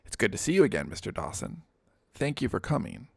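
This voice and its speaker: Polite estate lawyer for a storybook read by a man, formal and measured, gravelly, dry studio sound. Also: mid-range voice, very animated, darkish tone, no echo, voice pushed up. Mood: welcoming. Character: courteous, formal male lawyer serving a wealthy estate. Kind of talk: audiobook character dialogue.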